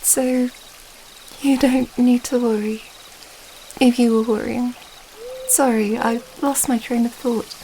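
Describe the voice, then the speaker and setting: A woman plays a young princess with a light, fairly high voice that stays soft and mellow. She talks intimately, as in an ASMR romance audio, affectionate and earnest, rambling a little hesitantly. Faint ambient noise sits behind her. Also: fairly even intonation, slow, poor audio. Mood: tender, shy.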